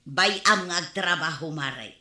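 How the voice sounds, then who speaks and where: A woman with an Ilocano accent playing a scolding, hardworking, exasperated village wife, shrill and nagging, in a radio comedy drama. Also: measured pace, bright, forceful tone, reverberant, exaggerated voice. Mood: bossy, nosy.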